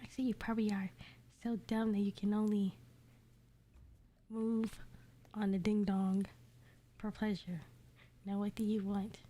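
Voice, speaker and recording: Woman acting bossy teasing lover, condescending, mellow, unhurried, fan fiction story. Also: mid-range voice, flat delivery, natural voice. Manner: condescending, commanding.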